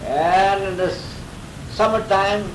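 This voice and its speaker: An older man in his eighties, from Greece, recalls his early life in a rambling way, chuckling between memories. His voice sits a bit high for a man and is heard from well off the mic. The room rings, and a steady hiss runs under the home tape. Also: slow pace, roomy sound, recorded from a distance. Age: 80s. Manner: chuckling, rambling storyteller with restarts. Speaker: interviewee, grandfather. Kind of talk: casual oral-history interview.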